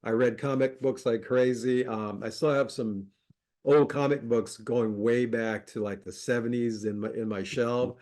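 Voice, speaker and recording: A middle-aged man from Colombia, a podcast guest chatting casually, relaxed, with frequent fillers and easy laughter. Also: medium pitch, a little slow. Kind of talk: casual interview chat.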